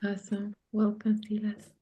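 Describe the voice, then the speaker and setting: A woman from Russia gently guiding an online sharing circle, talking softly with many pauses and ums. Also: medium pitch, monotone, husky, poor audio. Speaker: circle facilitator. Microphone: video call.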